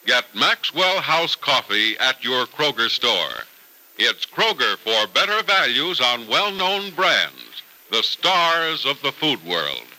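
A man's voice: mid-range voice, sing-song, slow, sharp tone, poor audio. A man announcing and narrating old-time radio from a script in a polished, emphatic style.

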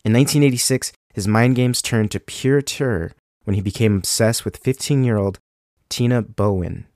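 A man's voice: moderate pace, a little mellow, no echo, clean studio sound. A young man hosting a true crime podcast, a bit sick with a cold, talking casually with ums and likes. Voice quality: deeper than usual from illness. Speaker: podcast host.

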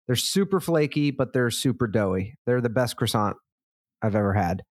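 A man co-hosting a podcast in casual chat, relaxed and swearing freely as he reads out listener responses. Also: medium pitch, moderate pace, no echo, studio quality. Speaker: podcast co-host. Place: lives in a big city.

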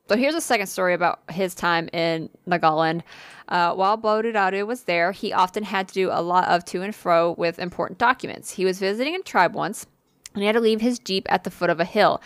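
A woman's voice: medium pitch, fairly bright tone, smooth, no echo, studio quality. A woman casually reading a spooky listener story aloud on a podcast, with fillers and asides. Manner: reads with occasional fillers and asides.

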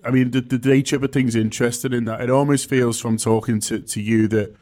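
A man's voice: medium pitch, steady tone, fairly quick, a little mellow. A young adult man from Liverpool, hosting a podcast and asking thoughtful questions hedged with "sort of" and "kind of". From Liverpool, England. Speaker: podcast host. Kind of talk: interview questions.

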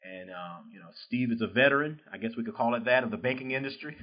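A man's voice: mid-range voice, fast talker, lo-fi sound. A man with a Southern US accent hosting an interview with an author, chatting casually and leaning heavily on "you know" fillers. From southern United States. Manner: rambling, constant "you know" fillers, laughs easily. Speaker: podcast host interviewing an author.

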